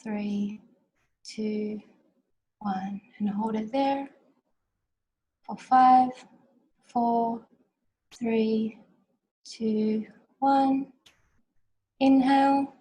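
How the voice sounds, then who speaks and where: A woman's voice: a little high, very slow, pauses often, husky, poor audio. A young woman from Australia in her twenties, hosting an online conference on a video call from a small room, guiding a breathing exercise and welcoming everyone. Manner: calm, soft counting, warm welcoming. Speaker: conference host. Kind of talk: guided breathing, then welcoming talk. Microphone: video call webcam.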